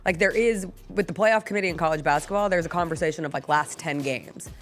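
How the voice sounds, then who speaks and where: A woman's voice: on the low side, quick pace, soft background music. A woman hosting a sports talk show, giving casual, opinionated and analytical commentary with attention to detail.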